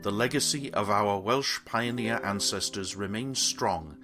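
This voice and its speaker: Man narrating a heritage documentary. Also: lowish voice. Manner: measured, solemn delivery. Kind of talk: scripted narration. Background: guitar music.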